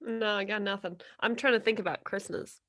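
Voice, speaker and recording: A woman's voice: medium pitch, steady tone, fairly quick, fairly bright tone. A young woman co-hosting a movie podcast with a stuffy nose, making dry, wry quips and laughing often. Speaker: podcast co-host. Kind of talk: casual chat.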